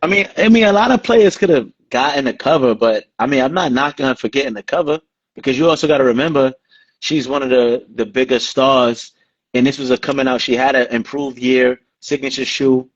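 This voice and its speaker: A man co-hosting a sports podcast, speaking in a measured and laid-back way. He pads his points with easy fillers as he thinks aloud. His voice comes through a slightly dull, muffled recording. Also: mid-range voice. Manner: measured, laid-back, uses "I mean" and "you know". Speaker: podcast co-host. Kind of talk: casual sports talk.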